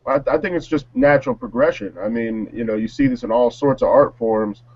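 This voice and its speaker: A man in a casual panel discussion, talking in a measured, reflective way. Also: mid-range voice, no echo, dull audio. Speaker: panelist on a music talk show.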